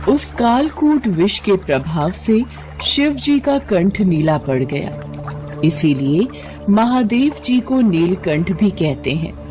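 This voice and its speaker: Slow, expressive woman storyteller. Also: medium pitch, faint music behind, rough recording. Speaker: storyteller, narrator. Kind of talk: scripted mythological bedtime story narration.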